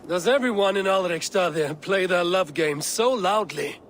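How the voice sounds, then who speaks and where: Casting: man as composed Viking warrior, slightly high male voice, dry wit, game drama. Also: normal speed, slight background noise, close to an everyday voice. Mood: amused, curious. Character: calm, wry Viking warrior, loyal and obliging. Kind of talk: video game acting.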